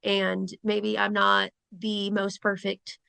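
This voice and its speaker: A woman's voice: mid-range voice, completely flat delivery, takes it slowly, creaky, sharp tone, clean tone, dry recording. A woman in her twenties from Rhode Island, a teacher and coach talking casually as an interview guest, chatty with lots of ums. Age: 20s.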